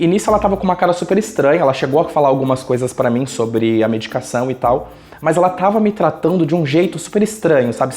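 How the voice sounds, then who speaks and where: A man from Brazil with a Brazilian accent, telling a personal story casually and colloquially as a YouTuber. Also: mid-range voice. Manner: colloquial, animated retelling with acted quotes.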